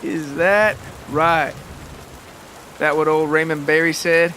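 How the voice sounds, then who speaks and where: A man's voice: on the high side, fairly even intonation, slow pace, pushed and bright, a little hiss or hum. A man voicing a bitter, hard-drinking farmer father who barks and sneers in folksy rural phrasing for an audio story. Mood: menacing.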